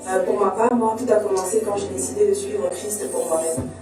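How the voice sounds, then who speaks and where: A woman's voice: mid-range voice, steady tone, over music. A twenty-year-old young woman sharing her personal faith story in an earnest way. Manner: brisk, earnest. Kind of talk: recorded youth testimony. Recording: very echoey room, from far off.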